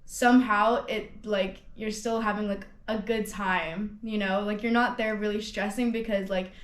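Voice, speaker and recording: A young woman of nineteen, raised in Hong Kong, speaks in a relaxed testimonial. Her voice sits at a middle pitch and she talks loosely, full of fillers and restarts. The room adds a noticeable echo. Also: normal speed. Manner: chatty, lots of "like" and restarts. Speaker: student ambassador. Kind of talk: casual testimonial interview. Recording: roomy echo.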